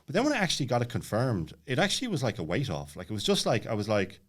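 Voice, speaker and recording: A man's voice: medium pitch, wildly swooping pitch, fast talker. A man in his late thirties telling a personal story casually, rambling and jumping around with lots of filler words. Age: late 30s. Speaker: podcast guest.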